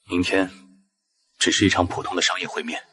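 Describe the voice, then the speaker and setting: A man playing a composed, pragmatic businessman in an animated web drama, measured, polite and firm. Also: deep voice, noisy background, close to an everyday voice. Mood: reassuring. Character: composed, pragmatic businessman calming his wary partner.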